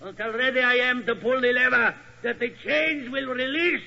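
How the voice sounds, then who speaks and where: An actor playing a sinister, mad museum curator, speaking in slow, oddly ordered phrases, in 1940s radio acting. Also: male voice, extremely high pitch, fairly even intonation, creaky, bright, forceful tone, heavily acted. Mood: gloating madness. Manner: slow, halting foreign word order, cackling menace. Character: mad old German museum curator, sinister host turned gloating villain. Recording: dull old radio broadcast.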